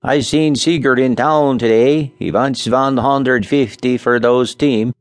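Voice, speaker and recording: A man voicing a slow, solemn Scandinavian immigrant farmer who speaks halting broken English with a Scandinavian accent. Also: medium pitch, steady tone, pitched above the performer's own voice, close to an everyday voice. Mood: plain, solemn.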